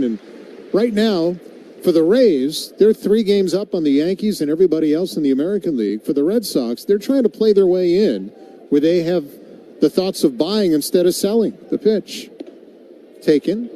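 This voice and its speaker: A man does live radio baseball play-by-play in a very dark, relaxed voice pitched a little high. He calls the game as commentator, with clear ballpark noise behind him. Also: poor audio.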